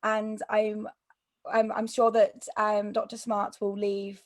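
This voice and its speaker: A woman with a croaky voice telling her personal story as an interview guest, hesitant, with many ums and restarts. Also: mid-range voice, monotone, slow, dry recording, studio quality. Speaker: interview guest, mental health YouTuber.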